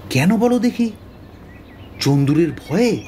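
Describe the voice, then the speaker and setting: An actor playing a blustering, easily baffled police officer with a querulous manner in a detective audio drama. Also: male voice, sits high, sing-song, slow, dark tone, slight background noise, heavily acted. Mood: puzzled.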